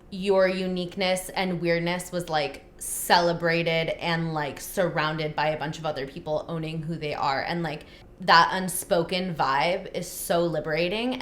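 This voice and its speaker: Young woman host, kinda low voice, gushy and encouraging, echoey room. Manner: enthusiastic, affirming, many "like" fillers. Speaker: podcast host. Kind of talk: casual interview conversation.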